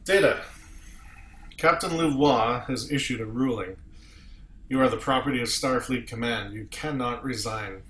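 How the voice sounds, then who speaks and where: A man's voice: medium pitch, normal speed, pushed and bright, close to an everyday voice. Man as a stern starship captain, echoey room. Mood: grave. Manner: commanding, formal. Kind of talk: amateur table read of a sci-fi script.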